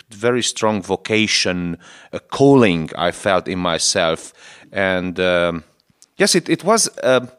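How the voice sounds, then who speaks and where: A man in his forties, a Lutheran bishop from Transylvania whose first language is Hungarian, answering radio interview questions in halting, earnest English as he searches for words. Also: fairly low pitch, fairly bright tone. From Romania, Transylvania.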